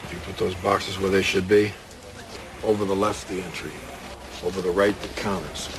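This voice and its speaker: An actor playing a seasoned, world-weary police detective in a crime audio drama, dry, clipped and matter-of-fact. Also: male voice, low voice, level tone, moderate pace, background noise. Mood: terse, certain. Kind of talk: hard-boiled crime radio drama with first-person narration. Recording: music behind the voice.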